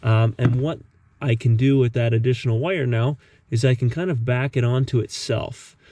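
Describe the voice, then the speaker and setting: A man's voice: mid-range voice, normal speed, mellow, no echo. A man narrating a casual hands-on DIY tutorial in a methodical, explanatory way. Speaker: host of a DIY car repair tutorial.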